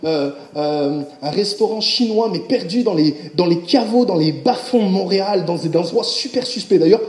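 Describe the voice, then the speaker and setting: A man preaching a sermon, speaking directly to his congregation in an animated way. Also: high-pitched, normal speed, roomy sound. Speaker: pastor.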